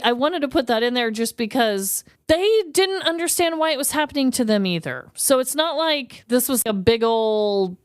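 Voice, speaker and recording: A woman with a smooth, clear voice chats casually as a podcast co-host, her pitch moving in animated swings. She is informal and jokey, and the sound is close and dry. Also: dry recording. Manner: informal, jokey, quick to laugh. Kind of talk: casual conversational true-crime comedy podcast chat. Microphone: close podcast microphone.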